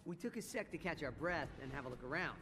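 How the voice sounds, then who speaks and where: A responsible young turtle leader in a game voiced by a man, earnest with a dry edge. His voice sits a little high over clearly heard music. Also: lively intonation, fast, natural voice. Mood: narrating.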